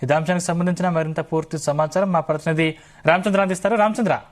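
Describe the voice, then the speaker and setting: A man's voice: a little high, fairly even intonation, fast talker. A man reading scripted news as an anchor.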